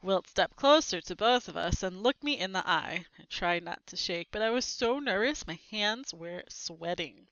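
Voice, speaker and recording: A woman reading a children's book aloud, expressive and acting out the characters' lines with short asides. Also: medium pitch, moderate pace, few pauses, no echo, lo-fi sound.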